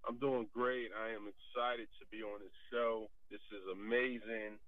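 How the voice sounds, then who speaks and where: A man's voice: lowish voice, little pitch movement, moderate pace, dry recording. A man from New Jersey, a sports league co-CEO, earnestly telling his life story over the phone, with many filler phrases. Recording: tinny phone line.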